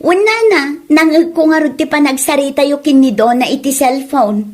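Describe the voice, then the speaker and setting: A woman's voice: high-pitched, normal speed, darkish tone, close to an everyday voice. A woman playing a nosy, spiteful village gossip in a comedy audio drama, insinuating and pushy, in Ilocano.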